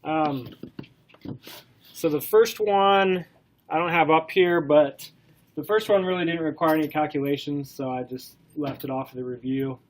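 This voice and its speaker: A man's voice: fairly high pitch, measured pace, poor audio. Stuffy-nosed man lecturing a class. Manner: relaxed, many ums, asks class questions. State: stuffy from a cold. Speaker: college instructor.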